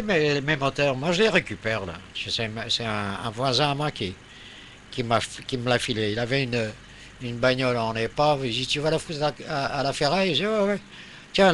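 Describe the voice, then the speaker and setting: A man's voice: mid-range voice, pushed and bright, a little hiss or hum. A man in a casual radio chat as an amateur operator, rambling and searching for words.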